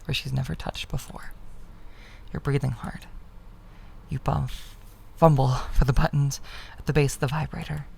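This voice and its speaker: Woman, low creaky voice, dramatic story reading, hushed breathy endings, faint background noise. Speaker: streamer reading a visual novel aloud. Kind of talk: dramatic second-person story narration.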